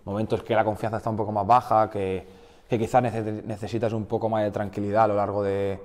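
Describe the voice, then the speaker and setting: A man's voice: lowish voice, fast talker, big-room sound. A man in his twenties, raised in the Basque Country, talking casually as an interview guest with many restarts, speaking Spanish with a slight Basque accent. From Portugal, raised in the Basque Country. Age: 20s. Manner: relaxed, frequent restarts and fillers. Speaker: guest footballer.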